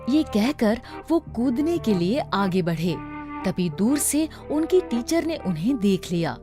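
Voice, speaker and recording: A woman's voice: fairly high pitch, sing-song, slow pace. A woman narrating a scripted story for children. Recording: music behind the voice.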